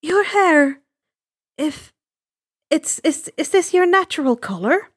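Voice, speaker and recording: Woman acting a gentle, high-voiced caring woman. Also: expressive, takes it slowly. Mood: apologetic, curious. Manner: kindly, tentative. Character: gentle, caring, soft-hearted woman lawyer.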